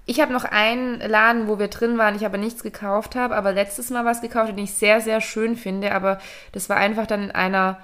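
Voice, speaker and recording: Woman, podcast co-host, casual chat, hesitant, lots of fillers, medium pitch. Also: steady tone, brisk, fairly bright tone. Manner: rambling, hesitant, many fillers.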